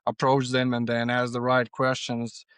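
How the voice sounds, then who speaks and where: A man's voice: medium pitch, monotone, normal speed, a bit bright, smooth, no room sound. A man from Serbia with a Serbian accent, speaking English as a second language, answering conversationally as a podcast guest. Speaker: podcast guest, freight agent.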